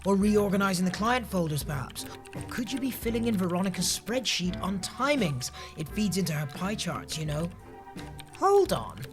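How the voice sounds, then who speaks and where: A woman's voice: mid-range voice, very animated, normal speed, music in the background, natural voice. A woman playing an earnest, disapproving, rule-abiding office colleague in an audio sitcom, prim and wordy.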